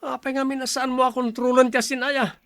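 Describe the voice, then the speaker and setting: A man's voice: very high-pitched, flat delivery, fast talker, fairly bright tone, no echo, clean studio sound. A man with an Ilocano accent playing an irritable, fault-finding older brother and shopkeeper in a family drama, blunt and scolding. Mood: complaining. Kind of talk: radio-style family drama acting.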